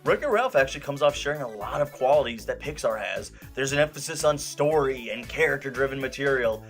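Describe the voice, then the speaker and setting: A man's voice: medium pitch, lively intonation, brisk, faint music behind. A man hosting and narrating a scripted film review in a wry, conversational, emphatic way. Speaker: film reviewer, show host.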